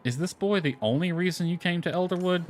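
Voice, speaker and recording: A man's voice: high-pitched, fast talker, a little hiss or hum. A man giving casual game commentary, reading dialogue aloud with offhand asides. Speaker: gamer commenting on own playthrough. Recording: lo-fi music playing behind. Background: background music.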